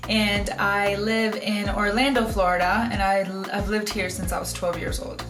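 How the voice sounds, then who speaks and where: An upbeat young woman co-hosts a casual couple chat with a bright, chatty manner. Her voice sits at a medium pitch in a roomy space, and music plays clearly behind her. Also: little pitch movement, moderate pace. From Bogota, Colombia. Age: young adult. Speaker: co-host, photographer.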